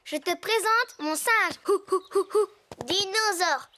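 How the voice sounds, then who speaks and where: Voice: very high-pitched, measured pace, background noise. An actor playing a cheerful, chatty little girl pig in a preschool cartoon dub, giggly and childlike. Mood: playful, chatty. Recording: music under the voice.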